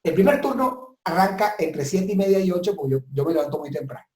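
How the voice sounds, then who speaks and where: Man in an echoey room, mellow voice. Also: high voice, sing-song, fast talker. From southern Italy. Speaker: business consultant, guest. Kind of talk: webinar interview, giving advice. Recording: roomy, echoing sound.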